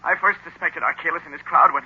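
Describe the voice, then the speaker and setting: Troubled young officer, earnest, strained, high husky voice, old radio serial, lo-fi. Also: male voice, fast talker. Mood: shaken, halting. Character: distressed young male army lieutenant under a hypnotic spell. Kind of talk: old-time radio drama acting. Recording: muffled lo-fi old radio recording.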